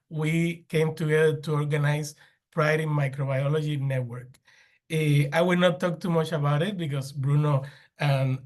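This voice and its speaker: A man from Cuba, presenting as a plant pathologist panelist in a webinar, with many restarts and fillers. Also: on the high side.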